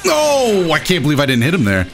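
A man hosting a gaming video with casual, excited, exclamatory and self-deprecating play-by-play commentary. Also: on the high side, a little fast. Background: game music behind the voice.

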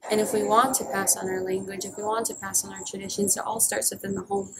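Young woman, fast and gravelly, firm and heartfelt, outdoor traffic noise. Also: mid-range voice, little pitch movement. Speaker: interviewee. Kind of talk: personal testimony. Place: outdoors by a stone wall.

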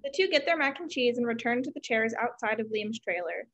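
Higher-pitched woman reading stage directions. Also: lively intonation, fairly quick, fairly bright tone, muffled recording. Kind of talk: scripted narration. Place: room at home, video call.

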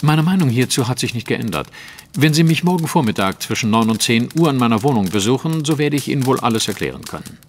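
An actor playing a self-assured gentleman detective in an audio drama, speaking formally and precisely. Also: medium pitch, animated, fast talker, big-room sound, a little hiss or hum, close to an everyday voice. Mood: composed, assured. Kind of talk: German audio drama acting.

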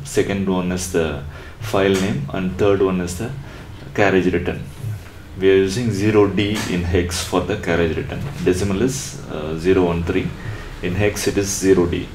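A man narrating a coding tutorial step by step, unscripted, in a halting way. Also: deep voice, darkish tone. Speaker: electronics tutorial presenter. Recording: roomy echo with faint background noise.

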